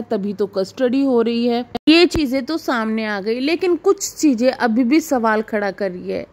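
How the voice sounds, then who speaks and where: A woman hosting a reaction video, talking informally to viewers and explaining things in a gossip commentary style. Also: high voice.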